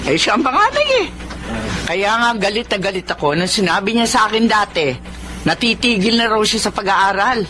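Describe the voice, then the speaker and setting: Embittered Filipina mother, swooping pitch. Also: animated, fairly quick, pushed and bright. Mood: indignant. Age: middle-aged. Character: stern, embittered middle-aged Filipina mother. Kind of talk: radio drama acting. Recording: noisy radio drama recording.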